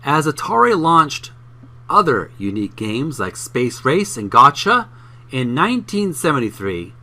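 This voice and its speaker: A man reading a book aloud for his video channel in an emphatic, deliberate way, occasionally stumbling over words. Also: mid-range voice, big pitch swings, bright, forceful tone.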